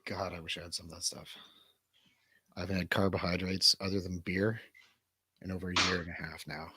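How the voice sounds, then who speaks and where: A man's voice: low-pitched, level tone, brisk, sharp tone, breathy edge. A man hosting a livestream, chatting casually in an informal, bantering way. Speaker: livestream host.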